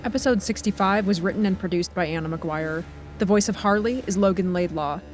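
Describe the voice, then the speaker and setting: A man's voice: high-pitched, fairly quick, over music. A man reading scripted closing credits as a podcast announcer.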